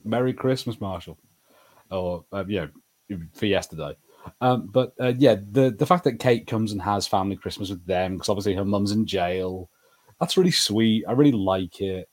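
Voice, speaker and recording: A man's voice: fairly low pitch, normal speed, a little mellow. A man co-hosting a podcast, chatting casually as he reviews TV shows. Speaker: podcast co-host.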